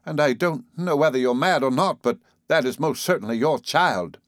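A man's voice: medium pitch, a little fast, dry recording, natural voice. Man putting on a young woman nurse, flustered, stern, reproachful, storybook character, dry close recording. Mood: severe.

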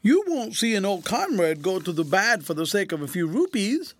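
A man doing a whining, high-pitched old soldier tramp. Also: very animated, moderate pace, no room sound. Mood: whining, cringing. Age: older. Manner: wheedling, rough colloquial speech.

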